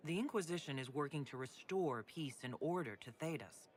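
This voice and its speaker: An actor playing a calm, diplomatic leader in a fantasy video game, speaking in a direct, guarded way. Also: male voice, fairly high pitch, moderate pace, natural voice. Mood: composed, questioning. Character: composed, diplomatic male leader of an inquisition. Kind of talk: video game dialogue acting.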